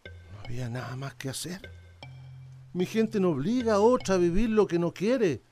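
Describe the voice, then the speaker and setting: A man's voice: sits high, expressive, moderate pace, a little mellow, faint music behind. An actor playing a weary, aging native fugitive in a radio drama, resigned, proud and plainspoken, addressing his listener respectfully but defiantly. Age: older.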